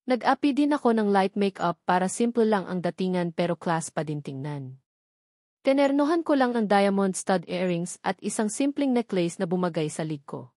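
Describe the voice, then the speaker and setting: A woman reads a first-person romance novel. Her pitch is average, and the recording is dry with hardly any room sound. It is scripted storytelling. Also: lively intonation, normal speed, a little mellow, no room sound.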